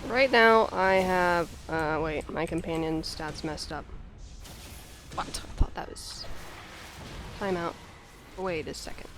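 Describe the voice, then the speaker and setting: A woman's voice: on the low side, takes it slowly, long pauses, music in the background. A woman hosting a gaming stream, chatty and playful, reacting to the game as she plays. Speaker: streamer host. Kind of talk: casual gaming stream chat.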